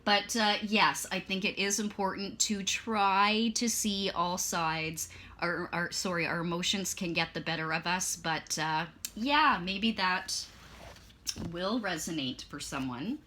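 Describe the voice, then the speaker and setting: A bright, forceful woman's voice that sits a little low and sounds strained, as if she is losing it. She talks her way through a card reading in loose, chatty bits, full of fillers and little corrections. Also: unhurried. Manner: chatty, meandering, with many fillers and self-corrections. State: losing her voice, clearing her throat. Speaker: tarot and oracle card reader. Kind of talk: casual spoken card reading.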